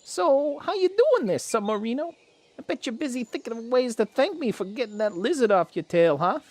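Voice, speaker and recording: A woman's voice: on the high side, big pitch swings, fairly quick, darkish tone, dry recording. A woman voicing a cheeky male rat robot warrior in a cartoon-based radio drama, teasing in slangy banter. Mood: cocky, indignant. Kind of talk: animated-series radio drama acting.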